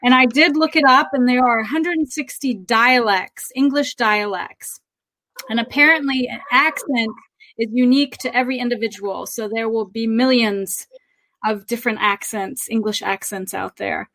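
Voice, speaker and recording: A woman with an American accent, co-hosting a casual podcast and talking in a reflective, measured way with frequent pauses and ums. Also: on the high side, sharp tone. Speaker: podcast co-host.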